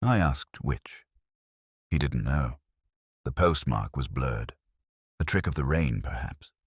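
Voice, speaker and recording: A man playing a brooding, erudite middle-aged detective, narrating an audio drama in measured, reflective, literary phrases. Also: a bass voice, lively intonation, slightly rough, dry recording, clean studio sound, heavily acted. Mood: wry, uneasy. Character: brooding, erudite male English police detective, melancholy, observant.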